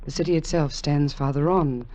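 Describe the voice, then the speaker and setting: An actor playing a mysterious veiled ruler, regal and imperious, with formal pronouncements in 1940s radio style. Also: male voice, a little high, steady tone, moderate pace, darkish tone, lightly acted. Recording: muffled vintage 1940s radio recording.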